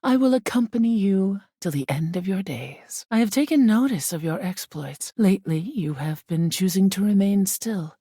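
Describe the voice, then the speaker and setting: Breathy, haughty goddess of air. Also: female voice, medium pitch, animated, moderate pace, few pauses, dark tone, no echo, studio quality. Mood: pleased, regal. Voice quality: breathy, often sinking into whisper. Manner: solemn, imperious, formal and archaic phrasing. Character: haughty, ethereal goddess of air, stern and easily wearied. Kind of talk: scripted video game character acting.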